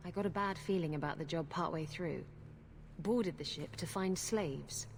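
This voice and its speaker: An actress playing a brash, flirtatious pirate captain in a video game cutscene, teasing and sardonic. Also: female voice, fairly low pitch, moderate pace, a little hiss or hum, natural voice. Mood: sober, reflective.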